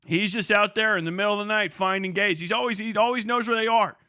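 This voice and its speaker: Irreverent, profane male streamer with a high voice, recorded dry and close. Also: fairly even intonation, fast talker, bright, forceful tone, smooth, no echo. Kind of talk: casual live-stream rant.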